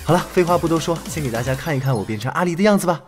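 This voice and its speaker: A man's voice: on the high side, rapid-fire, over loud music, natural voice. An actor playing a playful, cheeky young male cop who moonlights as a chatty beauty streamer in an audio drama. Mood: bubbly, chatty. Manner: animated, teasing, casual. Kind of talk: Chinese audio drama acting.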